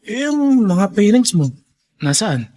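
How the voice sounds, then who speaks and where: A man playing a kind, easygoing young plantation gentleman in a radio drama, friendly and reassuring, mixing in English words. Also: high-pitched, big pitch swings, takes it slowly, exaggerated voice. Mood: kindly, curious. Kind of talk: Filipino radio drama acting.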